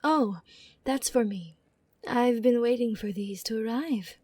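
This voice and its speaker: A woman playing a gentle, polite but primly chiding Norse goddess in an ASMR roleplay audio drama. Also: on the high side, unhurried, breathy edge, dry recording. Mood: pleased.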